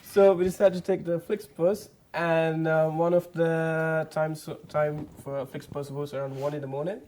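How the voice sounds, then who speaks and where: A young man, a student guest, telling a story in a relaxed, casual way. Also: fairly high pitch, moderate pace. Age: young adult.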